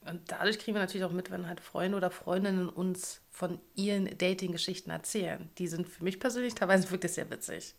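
Woman in her thirties from Berlin, playful and teasing co-host, lower voice. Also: steady tone, fairly quick, gravelly, hardly any pauses. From Berlin, Germany. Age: 30s. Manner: playful, teasing, laughs often. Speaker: podcast co-host. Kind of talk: casual chat.

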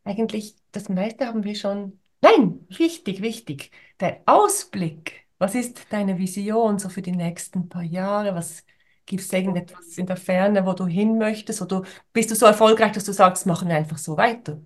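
A woman with a medium-pitched voice hosts a relaxed conversational interview. She thinks aloud in short, informal phrases. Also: moderate pace. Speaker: interview host.

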